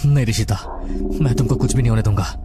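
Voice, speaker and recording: A man playing an earnest, protective young grandson and husband who speaks pleadingly in an animated horror story. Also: mid-range voice, fast, over music, natural voice. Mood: protective, reassuring.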